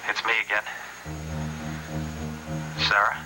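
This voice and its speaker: An actor playing a distrustful, volatile kidnapper on the run in a television soap, stammering, menacing and wounded. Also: male voice, slow, loud music behind the voice, close to an everyday voice, low-quality recording. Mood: hesitant, surprised. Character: distrustful, volatile fugitive kidnapper desperate to be a father. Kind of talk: television soap drama acting.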